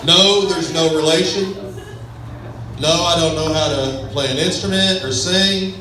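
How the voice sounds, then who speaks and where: A man's voice: a little high, measured pace, bright, forceful tone, slight background noise. A man from Texas with a West Texas accent, doing a self-deprecating, laid-back stand-up comedy set on a club stage. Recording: echoey club sound from far off with a crowd.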